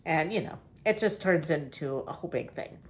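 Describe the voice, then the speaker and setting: A woman vlogging a casual reading wrap-up, chatty and rambling with fillers and small laughs, her voice getting a little raspy. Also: low-pitched, expressive, normal speed. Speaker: book vlogger.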